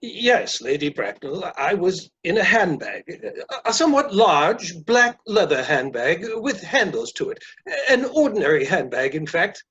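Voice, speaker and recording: A man playing a polite, flustered gentleman suitor in a comic play reading, hesitant, formal and apologetic. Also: high voice, very animated, unhurried, breathy edge, dull audio, exaggerated voice. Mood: earnest, uneasy.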